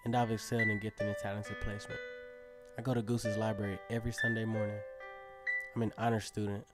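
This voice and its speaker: A lowish-voiced man playing a teenage circus acrobat tells his own story over clearly audible instrumental music. He is cocky and a bit dreamy, with casual, self-assured delivery suited to radio drama. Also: flat delivery, quick pace, close to an everyday voice. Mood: wistful, defensive. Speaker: narrator and lead character. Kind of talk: first-person radio drama narration.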